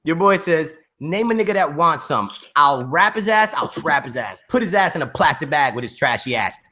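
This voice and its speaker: A man's voice: on the high side, very animated, normal speed, pushed and bright. A man bantering casually on a podcast, reciting things in a punchy, theatrical way. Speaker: podcast host.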